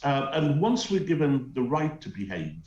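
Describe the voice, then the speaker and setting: Middle-aged Teesside commentator, emphatic and scornful, lively pitch, heard over a dull roomy link. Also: male voice, moderate pace. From North East England. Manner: emphatic, scornful, hesitant with many uhs. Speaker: guest commentator. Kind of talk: opinionated talk show commentary. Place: remote link from home.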